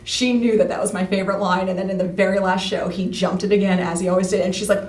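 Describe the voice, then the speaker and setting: A woman's voice: medium pitch, fairly even intonation, quick pace, darkish tone. American woman just chatting, says like a lot, echoey room, bit far from the mic. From United States. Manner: informal, peppers talk with "like". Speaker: interview guest, amateur actor. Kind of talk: casual chat. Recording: roomy, distant, faintly noisy.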